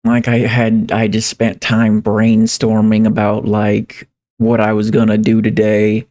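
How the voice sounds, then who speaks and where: A man livestreaming in a casual, rambling way, halting and repeating phrases as he thinks aloud. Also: fairly low pitch, monotone, darkish tone. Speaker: live streamer. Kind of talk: casual livestream chat, reading viewer comments.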